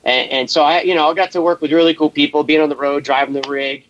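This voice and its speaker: A man from Massachusetts, a guest telling relaxed, rambling work stories in a casual interview. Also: on the high side, fast talker, lo-fi sound, a little hiss or hum. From Massachusetts, USA. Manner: laid-back, rambling, many fillers. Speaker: guest, race shop welder.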